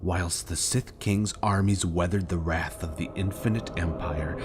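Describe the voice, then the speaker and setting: A man voicing a grave, very deep-voiced lore-keeper. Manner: measured, formal storytelling. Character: grave adult male lore-keeper recounting ancient history. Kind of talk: game character dialogue. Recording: game audio with audible background noise.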